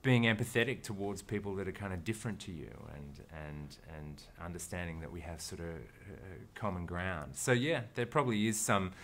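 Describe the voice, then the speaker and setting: Australian male actor, interview guest, deep voice, casual and thoughtful, restarts a lot. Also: animated, brisk, few pauses, a bit bright. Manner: thoughtful, rambling, with frequent restarts.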